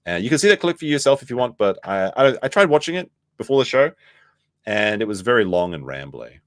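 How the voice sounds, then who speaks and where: A man with a slightly hoarse voice hosting a livestream, reading posts aloud and reacting casually in a rambling, wry way. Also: on the low side, very animated, fairly quick, dry recording, clean studio sound. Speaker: livestream host.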